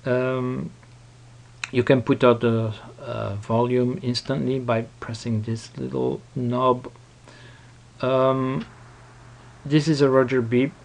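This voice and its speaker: Slow, hesitant man with creaky voice. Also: medium pitch, flat delivery, a little mellow. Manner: hesitant, halting explanation. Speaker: software tutorial presenter. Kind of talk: casual unscripted screen-recording walkthrough.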